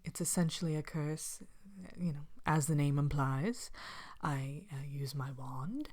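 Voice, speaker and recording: A woman playing a vain, haughty evil queen from a fairy tale, speaking softly and often whispering in an ASMR character monologue. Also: sits low. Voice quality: soft-spoken, often breaking into whisper. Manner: haughty, languid, dryly wicked. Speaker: character host of an ASMR show.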